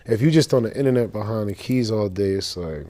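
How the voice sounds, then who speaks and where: A man's voice: fairly low pitch, brisk, dark tone. A young man from Boston, a rapper being interviewed, talking in a loose, rambling way with street slang and lots of fillers. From Boston, Massachusetts. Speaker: rapper, guest. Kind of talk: casual interview chat.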